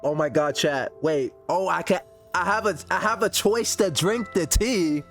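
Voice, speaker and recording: A man streaming a game playthrough, casually thinking out loud to his chat in slangy, expressive talk. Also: sits high, a little slow, soft background music. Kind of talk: casual playthrough reaction commentary.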